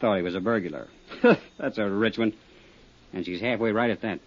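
A man's voice: on the low side, normal speed, lightly acted. A man playing a tough, cagey small-town roadhouse owner with an American accent in a 1950s radio drama, talking in defensive, streetwise slang. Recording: dull 1950s radio recording.

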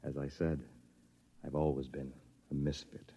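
A man's voice: a bass voice, little pitch movement, unhurried. An American man playing a cunning, cynical misfit who narrates and bluffs in a 1950s radio drama. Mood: wry, reflective. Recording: muffled old radio recording, faint music behind.

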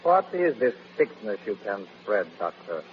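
A man's voice: fairly low pitch, big pitch swings, unhurried. An actor playing a deferential army captain advising his general in a 1930s radio drama. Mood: questioning. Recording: muffled, noisy vintage radio recording.

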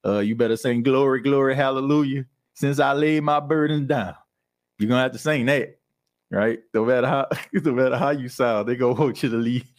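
A man's voice: medium pitch, a little fast. Laughing young man hosting a podcast. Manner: laughs often, animated impressions of church folk. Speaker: podcast host. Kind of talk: casual chat reading live comments.

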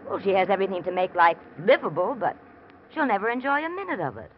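A woman's voice: medium pitch, big pitch swings, quick pace, lightly acted. An actress playing a teasing, worldly young American socialite in a classic radio drama, coy and probing in her theatrical delivery. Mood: probing, catty. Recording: muffled, noisy old radio recording.

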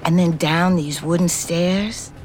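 An actress playing a streetwise, weary middle-aged ex-convict who narrates an audio drama in a frank, wistful, conversational way with slangy swearing. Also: female voice, fairly low pitch, fairly even intonation, darkish tone, soft background music, poor audio. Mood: observant, confiding. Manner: conversational, halting, profane slang. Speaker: lead actor and narrator in scripted fiction podcast. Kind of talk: intimate first-person audio drama narration.

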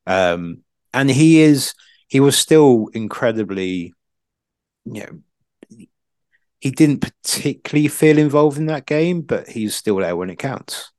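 A man chatting casually as a football pundit, thinking aloud in a halting, circling way. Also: mid-range voice, lively intonation, a little mellow, no echo.

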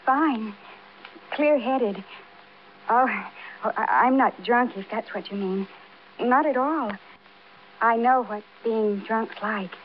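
An actress playing a dazed, tipsy new widow, hesitant, childlike and over-polite in a 1950s radio drama. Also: female voice, a little high, takes it slowly, pauses often. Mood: tipsy, defensive. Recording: muffled vintage radio, faint noise.

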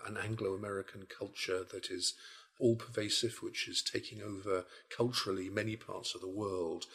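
A male podcast host asks careful, measured questions. His voice is low, with a gravelly, husky edge. He pauses on "uh" as he frames each point. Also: a bit bright. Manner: measured, thoughtful questioning with hesitations. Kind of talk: interview questions.

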